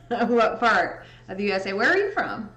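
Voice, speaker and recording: Slow, relaxed Midwestern woman livestreaming. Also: mid-range voice. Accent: Midwestern American. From Indiana, US Midwest. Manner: slow, relaxed, chatty with frequent laughs. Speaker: livestreaming photo editor. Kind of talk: casual livestream chat with viewers. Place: indoor home room. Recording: echoey room with faint music.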